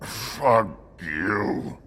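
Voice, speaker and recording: A man's voice: lowish voice, animated, drawn-out words, a bit bright, slight background noise, full character voice. A man voicing a drunken, brutish woodsman in a video game, snarling, belligerent and foul-mouthed. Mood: furious. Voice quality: gravelly.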